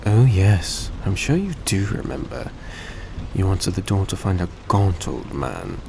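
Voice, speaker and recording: Man playing a low, unhurried, gracious male vampire. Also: vocal fry, heavily acted. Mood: solemn storytelling. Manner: soft-spoken, coaxing, unhurried, genteel. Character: suave, gracious centuries-old male vampire, young-looking, tender and grateful. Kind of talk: scripted roleplay audio drama addressed to the listener. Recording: steady background noise, vehicle-like ambience.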